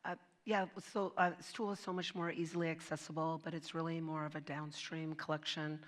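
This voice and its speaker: A hoarse woman on a panel, explaining clinical research in a matter-of-fact way. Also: deep voice, level tone, a little fast.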